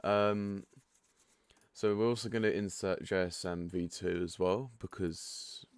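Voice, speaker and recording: A man with a croaky, just-woken-up voice giving a relaxed, chatty walkthrough of a software setup. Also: sits low, a little slow. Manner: relaxed and chatty, frank asides. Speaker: product developer giving a setup tutorial. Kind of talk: casual screen-share walkthrough.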